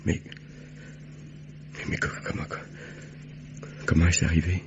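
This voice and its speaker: A man's voice: low-pitched, flat delivery, unhurried. An actor playing an agitated man in his thirties, a nervous murder suspect, in a stammering, hesitant old French radio drama style. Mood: alarmed, bewildered. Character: anxious stockbroker husband of 32, suspected of murder. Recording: dull, noisy vintage radio recording.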